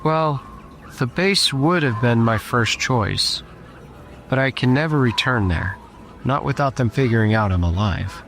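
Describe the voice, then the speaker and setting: An actor playing a weary, guilt-laden war survivor in an audio drama, subdued and earnest. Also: male voice, medium pitch, lively intonation, measured pace, fairly bright tone, slight background noise. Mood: somber, troubled. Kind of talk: scripted audio drama acting.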